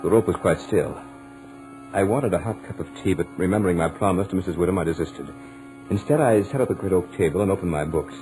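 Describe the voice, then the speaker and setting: An actor playing an anxious student who narrates his mounting dread in a dramatic, deliberate 1940s radio horror style. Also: deep voice, lightly acted, rough recording. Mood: uneasy, restless. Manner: dramatic, deliberate, suspenseful delivery. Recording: old 1940s radio recording, music behind. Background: dramatic musical score.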